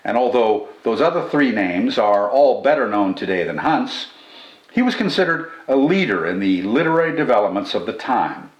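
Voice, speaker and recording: An older man reading a scripted literary commentary and reciting verse as host, in a measured, formal and expressive manner with deliberate phrasing. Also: medium pitch. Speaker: poetry channel host and reader. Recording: roomy indoor echo.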